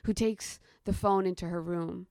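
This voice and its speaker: A woman's voice: fairly low pitch, normal speed, creaky, dry recording. Dry, close woman storyteller in her thirties. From United States. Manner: animated, shifts into present-tense story narration with quoted voices. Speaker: storyteller guest. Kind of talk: casual chat, then personal storytelling.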